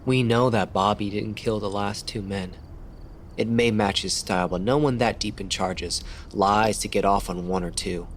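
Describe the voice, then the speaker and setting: A man playing a determined, tough police detective in an audio drama, firm, deliberate and confrontational. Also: lowish voice, fairly even intonation, a little hiss or hum, natural voice. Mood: accusing.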